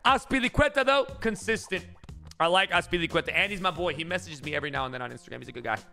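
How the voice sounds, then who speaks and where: A young man reacting casually on a live stream, excitable and slangy, swearing freely, close to the mic. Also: high-pitched, rapid-fire, creaky, loud music behind the voice. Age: young adult. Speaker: streamer reacting to football clips. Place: small indoor streaming room. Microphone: close microphone on boom arm, with headset.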